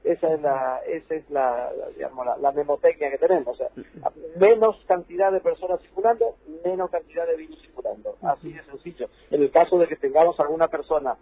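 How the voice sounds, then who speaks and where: A man's voice: a little high, fairly quick, husky. An Argentine man, a provincial health official, explaining rules insistently in a radio interview by phone, repeating points for emphasis. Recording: dry, tinny phone line.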